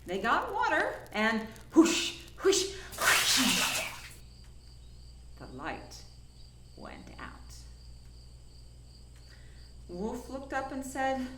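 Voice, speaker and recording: A woman reading a children's story aloud with dramatic, deliberate emphasis. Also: high voice, pushed and bright. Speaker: storyteller from a science museum. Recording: echoey room, faint insect-like noise.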